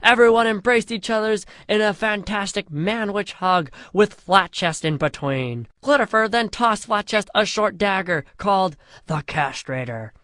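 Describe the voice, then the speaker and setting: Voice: medium pitch, lively intonation, unhurried, few pauses, a bit bright, dry recording, studio quality. Mock-epic storyteller reading a comic tale. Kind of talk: scripted narration of a comic fantasy parody story.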